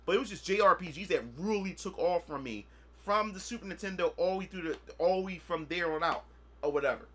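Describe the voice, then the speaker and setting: A man's voice: sits high, quick pace, a bit bright. A man in his thirties, chatting casually on a gaming livestream, rambling and repeating himself as he reminisces. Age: 30s. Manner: rambling, repetitive, often asks "you know what I'm saying". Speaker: gaming streamer.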